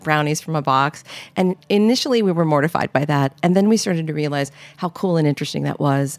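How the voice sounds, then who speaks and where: A middle-aged woman from Northern Michigan, a podcast guest telling relaxed, wry stories about growing up. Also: lowish voice, creaky, no room sound. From Northern Michigan, United States. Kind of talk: conversational interview storytelling.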